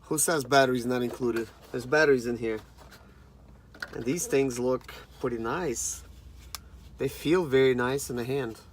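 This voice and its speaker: A man's voice: medium pitch, measured pace, a little hiss or hum. Casual man in his thirties, unboxing chat. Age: 30s. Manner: informal, conversational, addresses viewers as guys. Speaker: product reviewer and vlogger.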